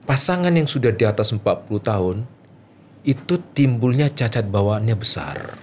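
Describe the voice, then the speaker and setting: A man's voice: medium pitch, expressive, normal speed, darkish tone, slight background noise. A man speaking as a guest doctor, explaining medical matters informally, with repeated points and rhetorical questions. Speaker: doctor, fertility specialist guest.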